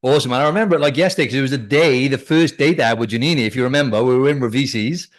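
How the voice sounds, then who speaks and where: A man's voice: medium pitch, rapid-fire. A podcast host from Wales, a man with a Welsh accent that has picked up some Australian twang, chatting in an upbeat, chummy way and calling his guest bro and mate. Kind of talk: casual chat.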